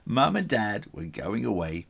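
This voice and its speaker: A man reading a children's story aloud as its author, theatrically, with dramatic pauses and whispery emphasis. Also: lowish voice, very animated, creaky, fairly bright tone, slightly rough.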